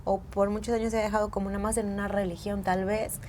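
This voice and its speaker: A woman's voice: medium pitch, little pitch movement, fast, a little mellow, a little hiss or hum. A woman with a Mexican accent hosting a podcast, friendly and enthusiastic, fond of diminutives. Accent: Mexican Spanish. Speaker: podcast host. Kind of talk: casual podcast interview.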